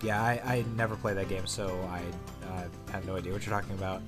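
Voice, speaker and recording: A man's voice: fairly low pitch, fairly even intonation, quick pace, music in the background. A man bantering as a co-commentator on a game, with dry sarcasm and teasing remarks. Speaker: let's play co-commentator.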